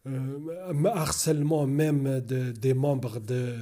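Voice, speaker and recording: A slow-talking man with a dark, mellow voice speaks French as a second language in a casual monologue to his viewers. He rambles, repeats himself and keeps stalling on fillers while he searches for words. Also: mid-range voice, steady tone. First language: not French. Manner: rambling, repeats "vous voyez" often; hesitant, many fillers and restarts. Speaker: YouTuber.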